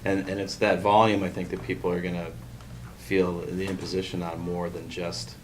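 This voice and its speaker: A man's voice: low voice, little pitch movement, moderate pace. A man talking through examples and reasoning in an explanatory way during a meeting discussion. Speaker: public meeting participant. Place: indoor meeting room. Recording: noisy meeting room recording.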